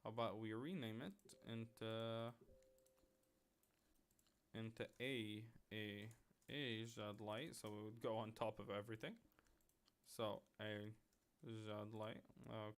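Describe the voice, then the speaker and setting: A man's voice: lowish voice, steady tone, slow pace, pauses often, smooth. A man from Lebanon doing casual livestream commentary as he works, thinking aloud with playful little sound-words and muttered self-mockery. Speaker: streamer, game level developer.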